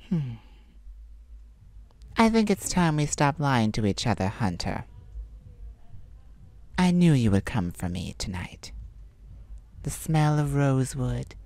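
A woman's voice: low-pitched, dry recording, full character voice. Woman playing a coy, teasing male vampire. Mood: flirty, then menacing. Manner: teasing, soft-spoken, slow and taunting. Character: coy flirtatious male vampire, cunning and dangerous. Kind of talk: ASMR roleplay audio.

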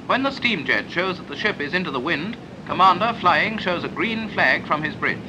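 A man's voice: high-pitched, animated, normal speed. A British man reading a scripted 1940s instructional film narration in a clipped, explanatory manner. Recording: noisy 1940s film soundtrack.

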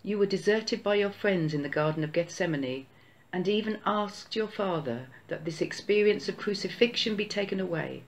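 A church prayer leader, slowly reading a solemn Easter prayer. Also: mid-range voice, poor audio. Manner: slow, measured, solemn reading. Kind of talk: read-aloud Easter prayer.

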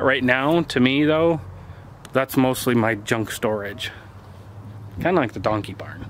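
A man's voice: mid-range voice, background noise. Plain-spoken man vlogging outdoors. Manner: relaxed, plain-spoken, thinks aloud with fillers. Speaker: homesteader giving a property tour. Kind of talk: casual walking tour vlog. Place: outdoors and in farm buildings. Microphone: handheld camera mic, filming himself.

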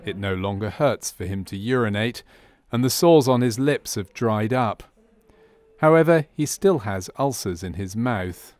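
A man's voice: lowish voice, lively intonation, a little slow, darkish tone, dry recording. A man reading scripted narration for a medical training film.

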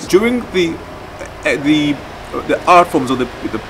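A man, speaking haltingly as he searches for words in an interview. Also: fairly high pitch, expressive, music in the background, poor audio. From Malawi. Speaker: project facilitator. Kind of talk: documentary interview. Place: outdoor garden courtyard. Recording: outdoor background noise.

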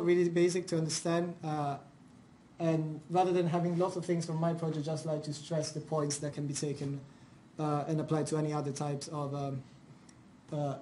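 A man's voice: on the high side, dark tone, slight background noise. A young man from Italy with an Italian accent, presenting his research at a university seminar in an informal way, with many fillers and restarts. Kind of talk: academic seminar talk.

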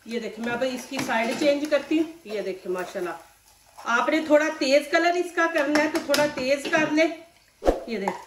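A woman's voice: sits high, normal speed, reverberant, recorded from a distance, background noise. A woman with a Pakistani Urdu accent hosting a cooking demonstration at home, chatty and instructive, often saying religious phrases. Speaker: cooking channel host. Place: home kitchen.

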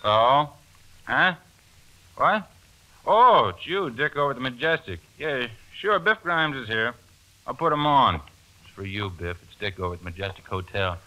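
An actor playing a simple, easygoing, folksy small-town sidekick in a 1940s radio drama. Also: male voice, medium pitch, sing-song, normal speed, pushed and bright, low-quality recording. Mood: casual. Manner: folksy, ungrammatical. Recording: old 1940s radio broadcast.

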